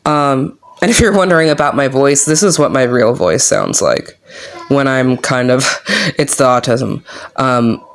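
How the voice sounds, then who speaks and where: A woman speaking in a low, flat, monotone voice, casually talking a viewer through her reading journal while she works on it. She is unhurried and relaxed, with lots of "um" and "like" filling her sentences. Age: adult with young kids. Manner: unhurried, filler-heavy, lots of "um" and "like". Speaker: book journaling vlogger. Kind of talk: casual chat while crafting.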